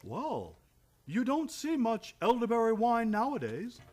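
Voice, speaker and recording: An actor playing a wistful, courtly elderly gentleman in a live old-time radio play, theatrical in manner. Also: very high-pitched, huge pitch swings, measured pace, heavily acted.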